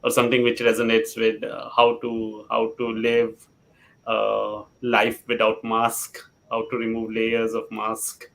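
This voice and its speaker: Guy on a panel thinking out loud, halting, pretty flat low voice. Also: gravelly. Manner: halting, reflective. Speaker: panel guest. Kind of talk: unscripted panel discussion. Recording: faint rumbling background noise.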